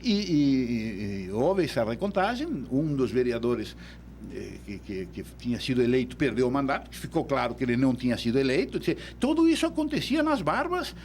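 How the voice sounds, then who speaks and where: A man's voice: mid-range voice, very animated, brisk, darkish tone, slight background noise. A man from Uruguay with a Uruguayan Spanish accent, a law professor explaining things as a guest in a radio studio, often repeating words while he thinks.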